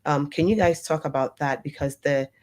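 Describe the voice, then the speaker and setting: A woman posing a question to a panel in a soft, hesitant way with many fillers. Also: low voice, normal speed. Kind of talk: casual panel discussion.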